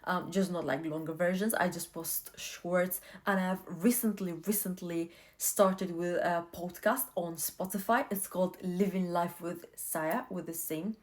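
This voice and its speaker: A woman's voice: lowish voice, fairly even intonation, unhurried, hardly any pauses. A young woman whose first language is not English, talking casually to camera and giving earnest life advice, often repeating herself for emphasis.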